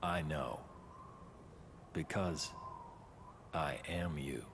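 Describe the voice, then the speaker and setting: An actor playing a calm, menacing villain in a video game cutscene, taunting in a slow, deliberate way. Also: male voice, extremely low pitch, full character voice. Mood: cold taunting. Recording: long reverb, noisy game ambience.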